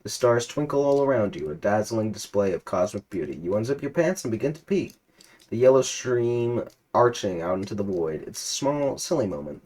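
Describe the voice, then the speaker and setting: Deadpan man reading a text adventure. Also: on the low side, breathy edge. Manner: deadpan narration, prone to laughing fits. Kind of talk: mock-dramatic reading.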